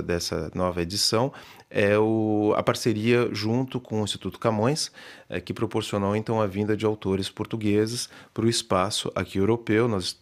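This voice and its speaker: A literature professor answering radio interview questions in a measured way, with many hesitations and restarts. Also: male voice, fairly low pitch. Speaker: literature professor and festival organizer.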